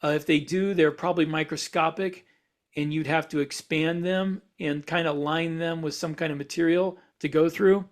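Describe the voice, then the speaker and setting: A man co-hosting a casual podcast, explaining in a halting way and repeating words as he works out his thoughts. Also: fairly high pitch, steady tone. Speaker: podcast co-host and science fiction author.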